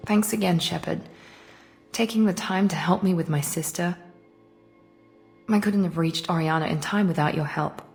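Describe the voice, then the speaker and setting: A woman's voice: on the low side, normal speed, pauses often, darkish tone, soft background music, close to an everyday voice. A woman playing a guarded, composed female operative in a science fiction video game, reserved in manner. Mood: reserved, wistful. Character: cool, guarded human female operative, quietly grateful.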